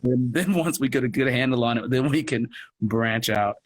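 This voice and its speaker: A man speaking as an interview guest in a casual, halting way, thinking aloud as he goes. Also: medium pitch, a little hiss or hum. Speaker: guest, audio drama producer and actor.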